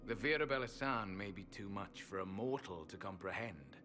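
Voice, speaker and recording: An actor playing a solemn ancient elven temple guardian in a fantasy game, with grave, formal, archaic speech. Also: male voice, fairly low pitch, normal speed, lightly acted. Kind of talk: video game fantasy voice acting. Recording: music behind the voice.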